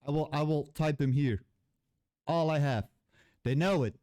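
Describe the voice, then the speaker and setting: Dutch guy ranting in english, swears a lot, hunts for words, kinda high smooth voice, dry close sound. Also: moderate pace, mellow, no room sound. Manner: blunt and heated, swears freely, searches for English words. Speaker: vlogger, former game level designer. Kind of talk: unscripted angry rant to camera.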